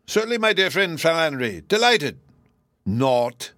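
A man voicing a stubborn, sly express agent with a folksy brogue and drawn-out grumbling. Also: fairly high pitch, big pitch swings, a bit bright, no room sound, studio quality, voice pushed up. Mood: mocking.

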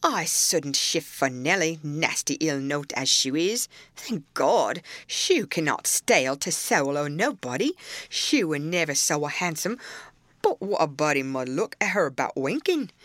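A woman's voice: low-pitched, big pitch swings, measured pace, a bit bright, no room sound, exaggerated voice. A woman voicing an aggrieved, lamenting old male servant in an audiobook, with a Yorkshire accent and a plaintive, indignant manner. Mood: bitter, lamenting.

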